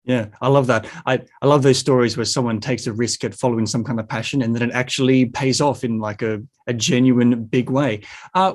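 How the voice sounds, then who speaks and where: A man hosting a casual interview show, chatty and a little self-deprecating. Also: medium pitch.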